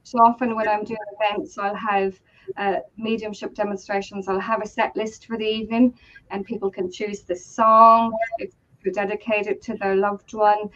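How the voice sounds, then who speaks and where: A woman's voice: mid-range voice, level tone, normal speed, a bit bright, lo-fi sound. An Irish woman, a guest medium, chatting in a rambling conversational way and laughing easily. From Ireland. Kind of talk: casual conversational storytelling.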